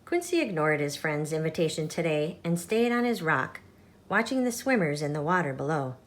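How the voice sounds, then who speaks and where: A woman reading a children's picture book aloud as a storytime host, in an expressive, measured storytelling manner. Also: low-pitched. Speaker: storytime channel reader.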